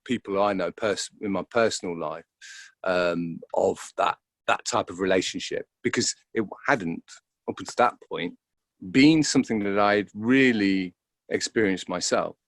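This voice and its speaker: A man, an actor being interviewed, talking reflectively and casually in a halting way, often repeating words as he thinks. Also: deep voice, no echo.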